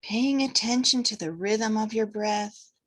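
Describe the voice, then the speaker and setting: A woman guiding breathwork and meditation as an instructor, gently giving instructions in short phrases with pauses between them. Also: a little high.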